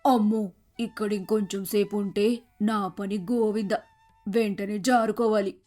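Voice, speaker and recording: A scheming neighbourhood gossip in a moral story, played by a woman. She speaks in an even, narrow range at middle pitch, with light music underneath. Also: measured pace, lightly acted. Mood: alarmed. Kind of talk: acted moral-story dialogue.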